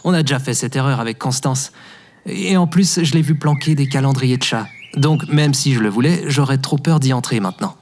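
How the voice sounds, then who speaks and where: A man as a wary, mid-pitched colonist. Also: brisk, close to an everyday voice. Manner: casual, reluctant. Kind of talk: scripted audio drama acting. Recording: clear background noise.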